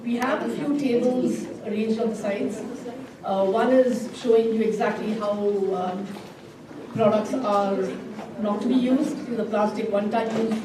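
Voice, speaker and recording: A woman hosting a community event for an environmental group, introducing speakers with many fillers in a library room. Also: a little high. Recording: very echoey room, far from the mic, noisy.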